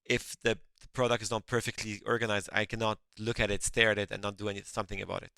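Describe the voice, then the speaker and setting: A man's voice: on the low side, level tone, quick pace, vocal fry, bright, forceful tone, clear, resonant tone, no echo. A middle-aged man who grew up in France and speaks English as a later language, answering interview questions in an earnest way, often restarting his words. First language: French. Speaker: guest, company founder.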